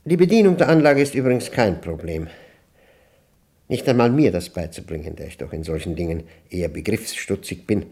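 An actor playing a reclusive, proud older mathematics professor in a radio play monologue, speaking with gravelly, pedantic, halting precision. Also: male voice, low voice, expressive, darkish tone. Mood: hesitant, explaining.

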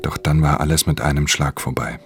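An actor playing a brooding, solitary pickpocket who narrates his crimes in a controlled, introspective, hushed way in a radio drama. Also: very deep voice, fairly even intonation, brisk. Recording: studio radio drama with prominent music.

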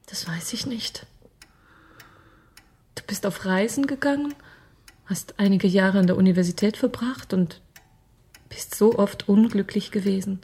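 An actress playing a tender, anxious young bride-to-be in a radio drama, hesitant and earnest. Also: female voice, mid-range voice, slightly rough. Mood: pained, loving. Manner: hesitant, earnest, soft-spoken.

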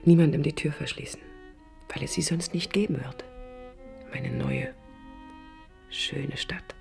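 A woman's voice: low-pitched, measured pace, gravelly, over music. An actress playing a proud, idealistic young woman architect in a radio drama, articulate, combative and ironic. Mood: wistful, hushed. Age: young adult. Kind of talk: radio drama acting with interior monologue. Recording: 1980s radio play with background noise.

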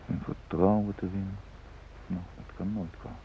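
An actor playing a self-possessed man posing as a doctor among hostages, musing in measured, clipped phrases in a radio drama. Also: low-pitched, steady tone, mellow, lightly acted. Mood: tense, brooding. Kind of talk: radio drama interior monologue. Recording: muffled phone-like old radio recording, hiss.

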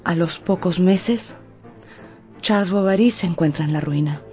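A woman narrates a radio novel in a measured, dramatic storytelling manner with weighted pauses. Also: lowish voice. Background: music.

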